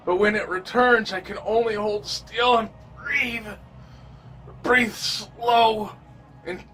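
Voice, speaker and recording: A man's voice: sits high, pushed and bright, slight background noise, heavily acted. Man acting a haunted survivor in horror audio drama. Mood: pained. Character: terrified wounded male survivor of a zombie horde. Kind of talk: first-person horror audio drama monologue.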